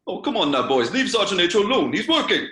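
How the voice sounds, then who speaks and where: A man's voice: sits high, sing-song, fast, pushed and bright, heavily acted. A man voicing a fatherly male village police chief who talks in a soothing, patronizing way. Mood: placating. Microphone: video call.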